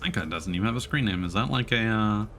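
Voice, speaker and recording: A man giving casual live commentary as a video game streamer, thinking aloud in a halting way. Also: fairly low pitch, expressive, a bit bright, a little hiss or hum. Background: game music and vehicle sounds.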